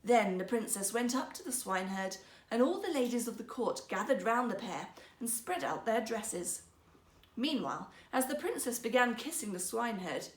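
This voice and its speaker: A woman storyteller reading a fairytale for children in a theatrical, expressive manner. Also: on the high side. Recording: echoey room.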